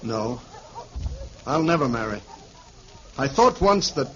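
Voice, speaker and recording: A man's voice: medium pitch, very animated, slow, fairly bright tone, slight background noise. An actor playing an earnest, anguished man in his twenties, hesitant and emotional, in an old-time radio drama. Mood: downcast, hesitant. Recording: muffled vintage radio recording, music behind.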